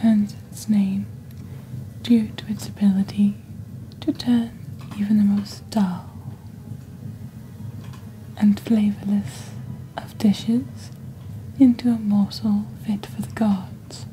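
A young woman playing a composed witch who presents a shopping channel in soft, breathy, half-whispered ASMR. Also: medium pitch, little pitch movement, a little hiss or hum, close to an everyday voice. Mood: composed, mysterious. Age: young adult. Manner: slow, deliberate, measured. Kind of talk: ASMR roleplay, scripted product presentation.

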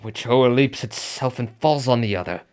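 An actor playing a brooding, conflicted nobleman with deliberate, theatrical verse delivery in a Shakespearean radio drama. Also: mid-range voice, a little mellow, lightly acted. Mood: brooding, doubtful.

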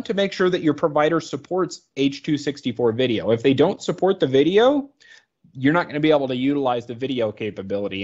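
A man's voice: mid-range voice, a little mellow, dull audio. A man narrating an informal product training presentation in a conversational, explanatory way, thinking aloud as he goes.

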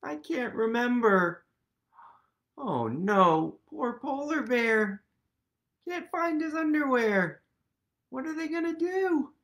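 A man in his thirties reading a picture book aloud to young children, expressive and full of questions for his listeners. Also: very high-pitched, slow. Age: 30s. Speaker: host, museum literacy educator reading aloud. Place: indoors, small quiet room at home.

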